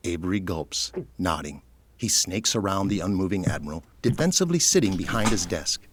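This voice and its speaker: Low-pitched male narrator. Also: animated, a little fast, husky, dry recording. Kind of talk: scripted audio drama narration.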